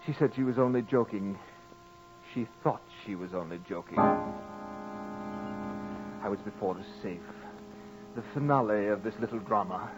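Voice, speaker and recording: A man performs a guilt-wracked murderer telling his tale in a 1940s radio horror play. His voice sits somewhat low, with melodramatic pauses and fevered repetition. The vintage recording is muffled, with prominent music underneath. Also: lightly acted. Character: guilt-ridden, panicked male murderer confessing his crime. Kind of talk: 1940s radio horror drama narration, first person.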